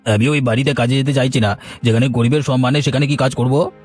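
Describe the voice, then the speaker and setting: A man's voice: mid-range voice, steady tone, fast, soft background music, natural voice. A man voicing a good-natured village working man in a cartoon, familiar and plain-spoken. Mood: supportive. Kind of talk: cartoon dialogue.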